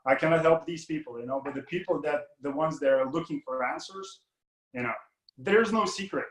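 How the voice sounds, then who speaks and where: A man's voice: a little high, fairly quick, fairly bright tone, reverberant, rough recording. A man from Lithuania, a money mindset coach talking as a guest in an interview, rambling with many fillers and restarts. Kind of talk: casual interview talk.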